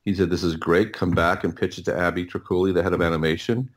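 A middle-aged man speaking as a podcast guest, telling stories casually and haltingly, often repeating words as he thinks. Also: deep voice, fairly even intonation. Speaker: podcast guest, audio producer. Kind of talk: casual interview storytelling.

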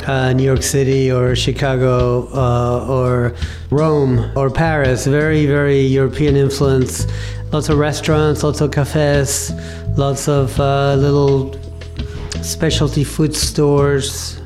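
A man in his fifties from Argentina, telling his life story in an interview with a thoughtful, halting manner and many pauses. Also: medium pitch, little pitch movement, music in the background. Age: 50s. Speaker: interview guest, cafe owner. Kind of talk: reflective personal storytelling.

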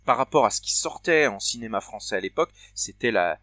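A man's voice: on the low side, animated, fairly quick, bright, forceful tone. A man in his forties co-hosting a casual conversation, talking hesitantly with many fillers and restarts. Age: 40s. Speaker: podcast co-host.

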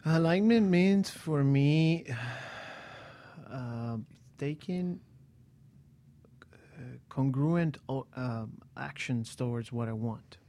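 Halting man on motivational talk radio. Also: mid-range voice, expressive, mellow. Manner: halting, many "um" and restarts. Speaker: co-host. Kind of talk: motivational talk show chat.

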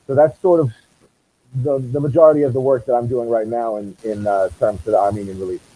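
A man with a Boston accent speaks as a musician being interviewed. His voice is very dark and relaxed, with no edge to it, and he talks conversationally at a middling pitch. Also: a little fast, breathy edge, rough recording. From Massachusetts, USA. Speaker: musician guest.

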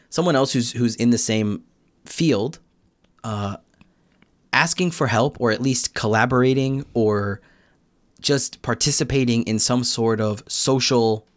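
A man's voice: medium pitch, slow pace, long pauses, no room sound. A young American man talking reflectively as a podcast guest, explaining his thoughts in a casual conversational way. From United States. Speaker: podcast guest, Korean learner.

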